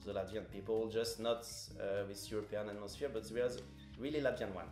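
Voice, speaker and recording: A man's voice: on the low side, fairly even intonation, brisk, a little mellow. French-accented young man, echoey room. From France. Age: 20s. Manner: hesitant, searching for words. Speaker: exchange student. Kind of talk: casual interview. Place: room with stone wall. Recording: echoey room with music underneath.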